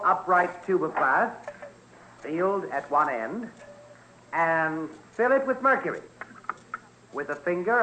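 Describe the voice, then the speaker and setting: A man's voice: high-pitched, bright, forceful tone, exaggerated voice, low-quality recording. A man playing an eager scientist demonstrating an experiment in a vintage radio drama, formal and declamatory. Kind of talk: 1950s radio drama acting. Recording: vintage radio with faint noise.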